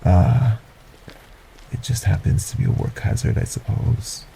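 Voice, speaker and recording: A man voicing a polite, sinister male stranger in an audio roleplay, speaking formally and courteously with a soft, breathy menace. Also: fairly low pitch, steady tone, a little slow, a little hiss or hum. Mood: smooth, courteous.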